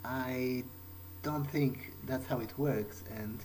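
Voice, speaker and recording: A man's voice: mid-range voice, fairly even intonation, takes it slowly, a little mellow, a little hiss or hum, rough recording. A man playing a video game in character, commenting in a hesitant, understated way as if musing to himself. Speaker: let's play host.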